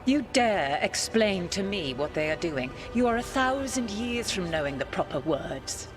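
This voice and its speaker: A scornful, ancient goddess. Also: female voice, medium pitch, very animated, a little slow, over music. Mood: imperious. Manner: commanding, scornful. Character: imperious, wounded goddess fragment, ancient and proud. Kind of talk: video game cutscene acting.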